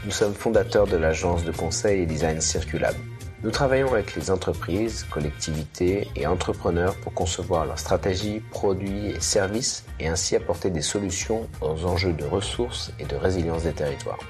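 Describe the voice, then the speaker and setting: A man's voice: deep voice, normal speed, darkish tone. A man co-host reading a scripted podcast introduction. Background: music.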